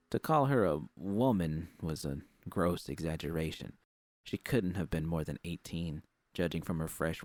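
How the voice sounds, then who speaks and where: A man's voice: deep voice, darkish tone, no room sound. A man reading a scripted first-person story as narrator.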